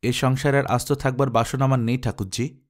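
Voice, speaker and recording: Male narrator playing young wife, defiant, aggrieved, blunt, mid-pitched, audiobook drama. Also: flat delivery, fairly quick, lightly acted. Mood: defiant, resolute. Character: defiant, wounded, strong-willed young daughter-in-law.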